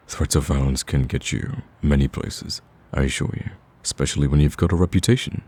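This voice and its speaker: A man playing a smitten, cocky villain in a romantic ASMR roleplay, with a husky, very deep voice and a smooth-talking, teasing, pleading manner. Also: mellow, exaggerated voice. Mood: cocky persuading. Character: smitten male villain, cocky, persistent, wooing.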